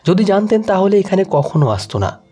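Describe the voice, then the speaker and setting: Anxious, well-mannered young bride-to-be, played by a male narrator, slightly high and animated, for an emotional audio story. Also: brisk, soft background music. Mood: anxious, scheming.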